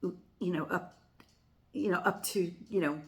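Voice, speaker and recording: A woman's voice: on the low side, fairly even intonation, a little slow, a bit bright. An older woman from the United States hosting her tarot channel, talking casually to viewers in a rambling way, with lots of fillers and self-corrections. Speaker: tarot reader and channel host. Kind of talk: casual chatty monologue to viewers.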